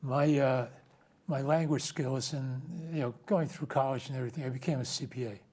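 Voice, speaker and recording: A man's voice: medium pitch, fairly even intonation, a little fast, a little mellow. A man in his eighties whose first language is Ukrainian. He is a guest in a casual interview, telling his story in a rambling way and often restarting and correcting himself. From United States. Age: 80s.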